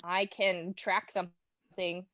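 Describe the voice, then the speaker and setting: A woman's voice: on the low side, little pitch movement, slow pace, pushed and bright, no room sound. A woman speaking informally as a guest in a casual podcast chat about games, acting out little reactions. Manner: informal, imitates sound effects and reactions. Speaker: podcast guest, listener of the show.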